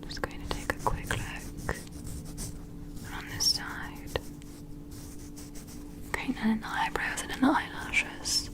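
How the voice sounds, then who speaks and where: A woman playing a polite, meticulous alien doctor in a whispered ASMR medical roleplay, gentle and methodical, often checking with questions. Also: takes it slowly, pauses often, lightly acted. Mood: calm, attentive.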